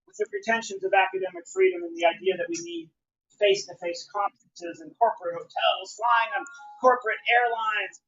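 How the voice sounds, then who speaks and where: A man's voice: high-pitched, brisk, fairly bright tone, soft background music. Dull-sounding recording of a declamatory male poet. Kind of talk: live spoken-word poetry reading. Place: live reading at a bookshop.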